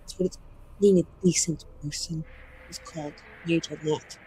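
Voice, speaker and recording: A man's voice: on the high side, unhurried, a little mellow, low-quality recording. A man voicing a proud, embittered male mage with a formal, sardonic manner in a tabletop roleplaying game. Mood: proud, scornful. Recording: faint background noise.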